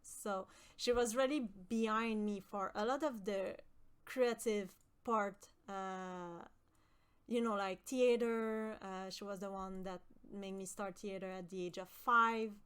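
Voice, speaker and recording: A young woman from with a high voice, chatting with her stream viewers and often pausing with fillers. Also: fairly even intonation, a bit bright, clean tone. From Quebec. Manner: hesitant, many fillers and restarts. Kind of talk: casual chat with stream viewers.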